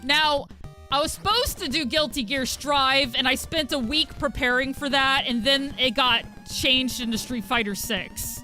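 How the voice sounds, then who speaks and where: A young woman streamer with a husky voice, chatting casually with viewers while gaming and thanking them, with frequent fillers. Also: high-pitched, very animated, measured pace, bright, forceful tone, soft background music.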